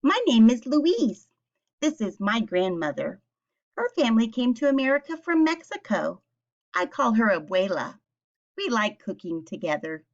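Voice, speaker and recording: A woman teacher reading a picture book aloud and speaking to young children with simple questions and clear explanations. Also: a little high, sing-song, moderate pace, dull audio.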